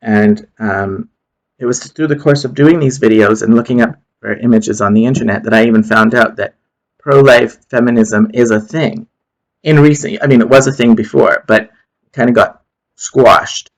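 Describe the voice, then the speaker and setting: A man with a cold, sounding congested and nasal, talking in a casual, rambling monologue full of fillers and restarts, with low energy. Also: normal speed, rough recording. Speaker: channel host.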